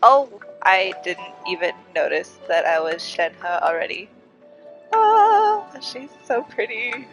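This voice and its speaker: A woman's voice: medium pitch, very animated, slow, poor audio. A woman streaming a game with casual, playful commentary, sounding congested. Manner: playful, giggly, exclamatory. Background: game music.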